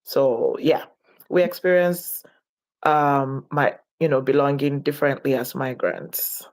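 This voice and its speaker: A woman from Nigeria, speaking as a guest in an interview, reflective and deliberate in her delivery. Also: very deep voice, a little mellow. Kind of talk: interview conversation.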